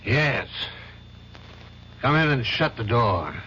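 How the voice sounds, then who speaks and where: An actor playing a stern middle-aged law firm boss in a 1970s radio drama. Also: male voice, mid-range voice, animated, slow, background noise. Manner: stern, clipped, demanding. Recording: dull old radio recording.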